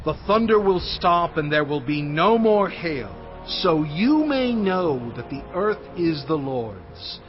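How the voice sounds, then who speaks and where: A fervent, high-pitched prophet Moses. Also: male voice, big pitch swings, slow, few pauses. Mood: bold, fervent. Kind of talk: dramatized audio Bible acting. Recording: dull audio with prominent music.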